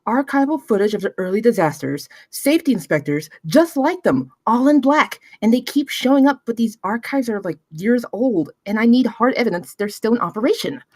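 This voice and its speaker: A woman's voice: on the high side, lively intonation, a little fast, hardly any pauses, darkish tone, no room sound. A young woman at a film table read playing an earnest, insistent intern investigator in her early twenties.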